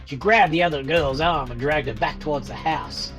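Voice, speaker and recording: A woman's voice: low-pitched, moderate pace, music in the background. A woman reading a scripted horror story as its narrator.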